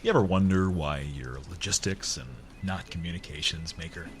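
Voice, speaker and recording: An actor playing a gruff, impatient space station commander who speaks in curt, demanding questions in a sci-fi audio drama. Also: male voice, sits low, normal speed, close to an everyday voice.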